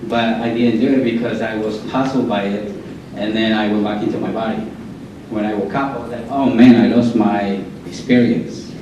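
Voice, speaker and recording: A man in the audience telling a personal experience and asking a question, hesitant and halting with fillers. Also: fairly low pitch, fairly even intonation, background noise. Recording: distant, muffled audience mic with room echo.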